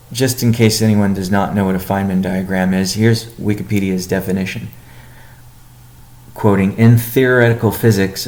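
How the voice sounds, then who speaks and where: A man giving a company update on a conference call as its chief executive, speaking in a measured, halting way with deliberate pauses. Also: lowish voice, gravelly, darkish tone. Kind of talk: business conference call presentation.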